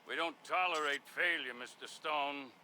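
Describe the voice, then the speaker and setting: An actor playing a menacing, arrogant mastermind in a video game, theatrical, deliberate and condescending. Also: male voice, fairly high pitch, sharp tone, slight background noise. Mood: smug, contemptuous. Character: menacing, arrogant male arms mastermind, commanding and contemptuous. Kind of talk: video game cutscene acting.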